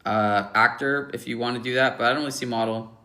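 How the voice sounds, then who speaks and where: A young man talking through a livestream mic with a bright, forceful voice. He gives blunt, offhand verdicts full of ums and restarts. He teases his chat in an easy, casual way. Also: mid-range voice, fairly even intonation, quick pace. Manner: joking, teasing the chat. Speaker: livestream host rating viewers' photos. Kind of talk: casual live-stream chat.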